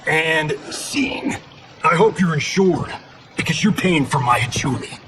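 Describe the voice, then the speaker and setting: An actor playing a cocky, flippant Hollywood action star in a video game, with a sarcastic, theatrical delivery. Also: male voice, on the high side, lively intonation, unhurried, background noise. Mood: indignant. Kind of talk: cinematic video game acting.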